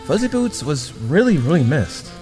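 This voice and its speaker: Young male superhero man actor, hesitant, conciliatory, lively intonation, audio drama, echoing reverb. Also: on the high side, normal speed. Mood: hesitant, earnest. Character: earnest, awkward, conciliatory young male superhero held captive. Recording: long reverb over faint music.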